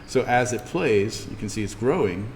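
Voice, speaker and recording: A man giving an informal in-class software tutorial, explaining step by step as he demonstrates. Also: lowish voice, animated, moderate pace. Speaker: instructor. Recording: reverberant room with background noise.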